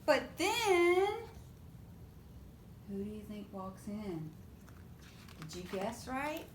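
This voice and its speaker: A woman reading a picture book aloud to young children in an expressive, animated way, often putting questions to her listeners. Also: extremely slow. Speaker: preschool storytime reader. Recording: roomy echo with faint low rumble.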